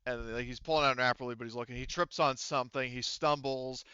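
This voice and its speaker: A man speaking casually as game master of a tabletop roleplaying game, explaining things in a halting, conversational way. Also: mid-range voice, steady tone, bright, forceful tone, clear, resonant tone, no room sound, highs cut off.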